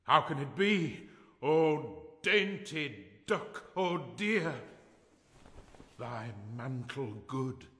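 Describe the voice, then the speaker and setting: An actor playing a hammy amateur thespian as a doomed lover, declaiming Shakespearean verse melodramatically in a radio drama. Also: male voice, a little high, expressive, drawn-out words, a bit bright, full character voice. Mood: anguished, bombastic. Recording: echoey hall with long reverb.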